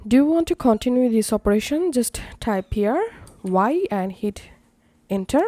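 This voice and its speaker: A woman narrating a step-by-step software tutorial as its host. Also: fairly high pitch, animated, normal speed, dry recording.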